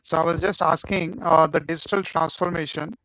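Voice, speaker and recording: A man's voice: fairly high pitch, steady tone, brisk, a bit bright, dry recording. A man hosting a live video-call interview, asking questions hesitantly with many fillers. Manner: hesitant, frequent uh fillers between phrases. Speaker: host, interviewer. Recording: dull dry video-call audio.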